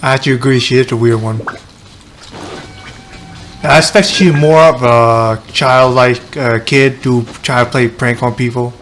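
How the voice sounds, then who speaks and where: Casual male game streamer, medium pitch. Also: steady tone, unhurried, a bit bright, a little hiss or hum. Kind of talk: casual gameplay commentary. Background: game music.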